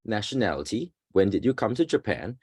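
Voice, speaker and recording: A man in his thirties from Singapore hosting a podcast over a video call, halting with many fillers and restarts. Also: on the low side, big pitch swings, darkish tone, breathy edge, no echo, clean studio sound. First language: Singaporean English. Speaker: podcast host and association president. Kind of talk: casual live-stream chat.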